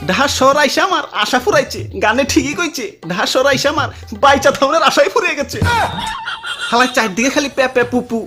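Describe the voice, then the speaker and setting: A man's voice: very high-pitched, a little fast. A man voicing a sarcastic young village lad in a comic cartoon, complaining in exaggerated Bangladeshi dialect over music. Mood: sarcastic grumbling. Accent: Bangladeshi, Dhaka-area dialect.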